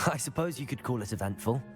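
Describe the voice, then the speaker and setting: An actor playing a polite, confident teenage student hero in a fantasy game, composed in speech. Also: male voice, mid-range voice, lively intonation, a little fast, over music, close to an everyday voice. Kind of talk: video game character dialogue.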